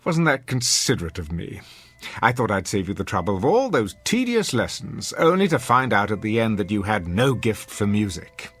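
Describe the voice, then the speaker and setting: Haughty, sardonic rich husband, wildly swooping delivery. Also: male voice, lowish voice, sing-song, normal speed, breathy edge. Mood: smug, mocking. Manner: haughty, sardonic, formal diction. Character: sneering, domineering wealthy husband and employer, cruelly sarcastic. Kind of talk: classic radio drama acting.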